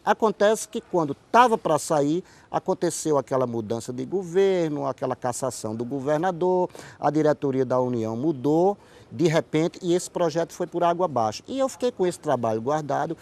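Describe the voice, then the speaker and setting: A man's voice: on the high side, animated, normal speed, a little mellow. A man with a Northeastern Brazilian accent, a writer answering in a conversational interview and telling stories, often repeating words and phrases for emphasis. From Paraíba, Northeast Brazil. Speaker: writer and playwright, interview guest.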